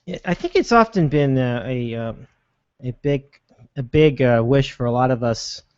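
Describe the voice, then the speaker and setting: A man hosting a show, reacting warmly and upbeat, often halting and restarting his sentences. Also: mid-range voice, clean tone, dry recording. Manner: upbeat, affirming, halting with fillers. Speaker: host. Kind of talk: casual chat. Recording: dull, dry lo-fi sound.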